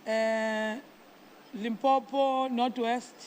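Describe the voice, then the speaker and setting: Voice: mid-range voice, little pitch movement, very slow, slight background noise. A South African speaker chairing a meeting, calling on people informally and mixing English with Nguni phrases. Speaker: congress chairperson.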